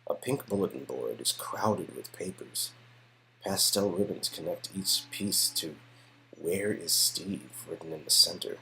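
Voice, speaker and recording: A man's voice: deep voice, animated, normal speed, creaky, bright, forceful tone, a little hiss or hum, low-quality recording. A man narrating scenes from an interactive story game as scripted, descriptive storytelling. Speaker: narrator of a game-dialogue performance.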